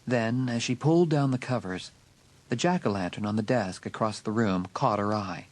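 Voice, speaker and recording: A man narrating a horror audiobook in a dramatic, suspenseful way with deliberate emphasis. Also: lowish voice.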